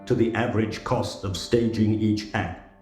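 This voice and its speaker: A man's voice: fairly low pitch, fairly even intonation, moderate pace, a little mellow, reverberant, close to an everyday voice. A man playing a grave, formal opera house manager in an audio drama, speaking solemnly and with an ominous air. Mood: formal, reading. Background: plucked guitar music.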